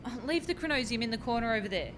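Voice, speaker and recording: Woman acting an anxious, high-pitched bossy female scientist. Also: lively intonation, rapid-fire, close to an everyday voice. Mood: startled, curious. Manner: exclamatory, cautioning, exasperated. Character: anxious, bossy female scientist juggling time-travel experiments. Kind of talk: radio play farce acting. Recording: clear background noise with low rumble.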